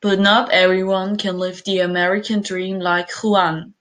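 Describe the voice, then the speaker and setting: Brief: woman narrator, plain read-aloud explainer, mid-pitched voice. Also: little pitch movement, unhurried, a bit bright, poor audio. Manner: reads plainly from a script. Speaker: student presenter.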